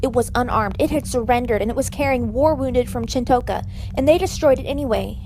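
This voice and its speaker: An outspoken ship's doctor acted by a woman from a sci-fi radio play. Her voice is on the high side and bluntly direct, with an embittered edge and faint ambient noise. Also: a little fast, mellow, lightly acted, low-quality recording. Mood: bitter, upset. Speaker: audio drama actor. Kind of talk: scripted sci-fi audio drama.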